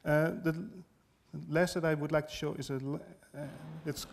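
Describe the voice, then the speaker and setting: A middle-aged man giving a live demo talk in a lecture hall, hesitant and halting, often restarting his words. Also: fairly high pitch, steady tone. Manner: hesitant, halting delivery with repeated word starts. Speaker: app developer presenting a demo. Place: large lecture hall, on stage at a lectern. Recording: noisy live hall recording.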